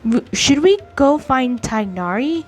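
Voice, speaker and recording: A woman giving casual playthrough commentary as a game streamer, halting and often repeating words. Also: sits high, lively intonation, darkish tone. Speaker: gaming streamer. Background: faint game music.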